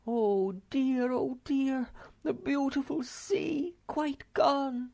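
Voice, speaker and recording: Man doing a high, sing-song young wanderer, lots of swoops, dry close mic. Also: wildly swooping pitch, slow pace, gravelly, darkish tone, husky, full character voice. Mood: mournful. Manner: mournful, lamenting.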